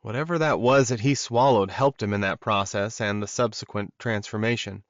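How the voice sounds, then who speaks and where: A man's voice: on the low side, moderate pace, dry recording, muffled recording, close to an everyday voice. A man voicing a protective, earnest alpha werewolf in an audiobook romance. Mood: serious.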